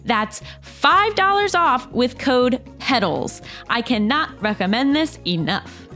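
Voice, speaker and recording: A woman co-hosting a podcast, speaking in an animated, conversational way, in casual chat and sponsor reads. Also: high voice, slow pace, faint music behind. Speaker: podcast co-host and ad reader.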